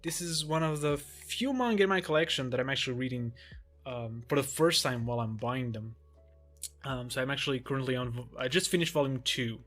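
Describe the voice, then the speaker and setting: Relaxed young man, native Portuguese speaker. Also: mid-range voice, fairly quick, soft background music. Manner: relaxed, rambling, with frequent ums and restarts. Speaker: manga collector showing his shelves. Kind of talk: casual collection tour vlog.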